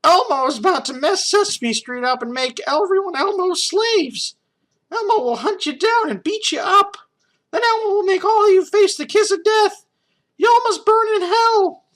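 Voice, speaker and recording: A man's voice: extremely high pitch, expressive, normal speed, a bit bright, voice pushed up. A man voicing a childlike, tyrannical puppet monster who makes threats and talks about himself in the third person. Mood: menacing, gleeful rage. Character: menacing high-voiced red puppet monster, childlike tyrant.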